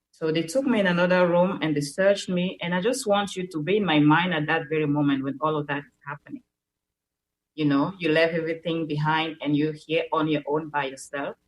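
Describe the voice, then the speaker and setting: A woman's voice: sits low, rough recording. A young woman from Cameroon with a Cameroonian French accent, a native French speaker, earnestly telling a personal story as a radio show guest and repeating words as she searches for them.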